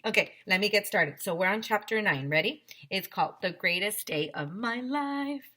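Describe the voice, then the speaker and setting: A woman teacher reading a children's story aloud in an expressive, simple storytelling manner. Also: mid-range voice, normal speed.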